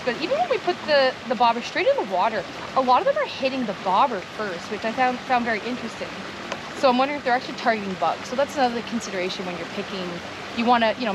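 Higher-voiced Canadian woman talking by a stream. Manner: conversational, explanatory. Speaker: fishing presenter. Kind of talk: casual unscripted outdoor chat with tips. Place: outdoors on a wooded creek bank. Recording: outdoor recording with clear rushing stream noise.

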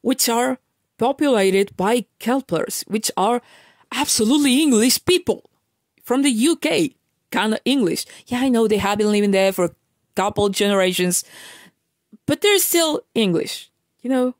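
A woman's voice: fairly high pitch, lively intonation, normal speed, dry recording, studio quality. A woman from Argentina, talking in a casual, emphatic vlog rant, lecturing her viewers with many restarts and fillers. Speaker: vlogger. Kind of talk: casual ranting monologue.

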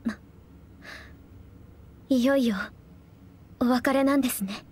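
A woman voicing a gentle, polite young noblewoman in a game cutscene, speaking softly and curiously. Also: sits high, steady tone, takes it slowly. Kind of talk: video game cutscene voice acting. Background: game soundtrack music.

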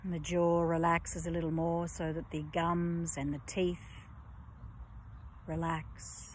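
A woman yoga teacher guiding a relaxation session in a slow, soft, soothing voice with long pauses, at times breathy and close to a whisper. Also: fairly low pitch, little pitch movement, a little hiss or hum. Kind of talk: guided yoga nidra relaxation.

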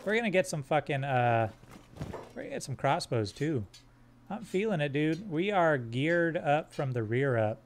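A man streaming a game live, joking crudely with his chat in a fairly monotone voice while sorting his squad's gear. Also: a little high, normal speed, a little hiss or hum. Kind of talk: live gameplay commentary.

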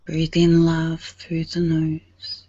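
A woman leading a guided meditation in a soothing, hushed and breathy manner, leaving long pauses. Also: sits low, completely flat delivery, dry recording, dull audio.

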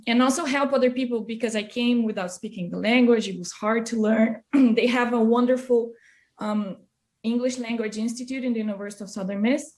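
A woman's voice: fairly high pitch, fairly quick, a little mellow. A woman from Brazil with a Brazilian accent, a native Portuguese speaker, talking conversationally as an interview guest on a video call. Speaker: interview guest, music educator. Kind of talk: conversational personal storytelling. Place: small indoor room, video call. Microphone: computer mic on video call.

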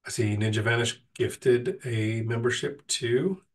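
A man's voice: mid-range voice, steady tone, a little slow, recorded from a distance. A middle-aged man with a sick, nasal voice, casually answering live chat questions as host, with frequent ums and restarts.

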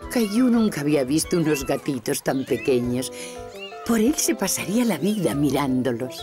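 A woman's voice: deep voice, sing-song, moderate pace, music in the background. A woman with a Castilian Spanish accent narrating a scripted children's story.

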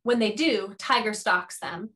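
A woman's voice: mid-range voice, a little slow, sharp tone. A woman narrating the stage directions of a play from a script.

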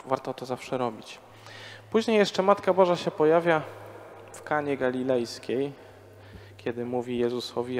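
A man's voice: medium pitch, a little mellow, slight background noise. A man in his thirties, a priest, preaching a parish sermon to a congregation in a conversational way, with rhetorical questions, on a handheld microphone. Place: large church before a congregation. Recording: long church reverb.

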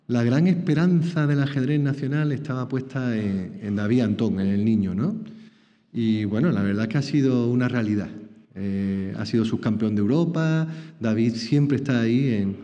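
A man's voice: mid-range voice, dark tone. A middle-aged man hosting a live chess talk in a small lecture hall, speaking into a table mic, chatty, with many tag questions and repeated words. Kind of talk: live public interview. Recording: dull reverberant hall sound.

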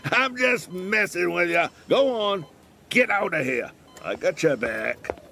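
Gravelly, cantankerous old bookseller. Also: male voice, high voice, animated, slow pace, sharp tone, slight background noise, heavily acted. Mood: sly, teasing. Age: older. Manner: griping, teasing, salty. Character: cantankerous, sardonic old male bookseller in hospital. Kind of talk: audio drama acting.

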